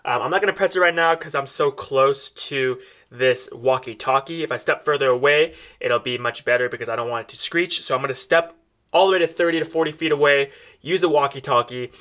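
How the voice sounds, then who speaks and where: A man's voice: mid-range voice, a little fast, a bit bright. A man casually demonstrating a product to camera, explaining step by step as he addresses viewers. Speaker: product reviewer.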